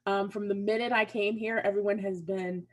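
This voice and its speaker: A woman's voice: mid-range voice, fairly even intonation, normal speed. A woman who grew up in the American South, chatting in an enthusiastic way in a casual panel chat.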